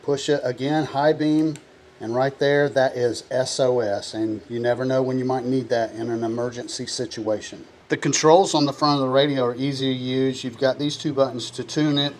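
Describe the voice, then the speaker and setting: Guy doing a product review, plain steady explaining, faint hiss in the background. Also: medium pitch, moderate pace.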